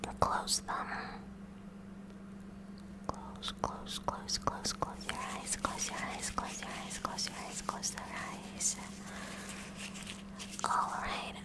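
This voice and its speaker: A woman playing a kooky, curious high school science club president in a whispered ASMR roleplay, softly and hesitantly chatty. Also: slow pace, pauses often, lightly acted. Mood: gently instructing. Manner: soft, hesitant, inquisitive, chatty.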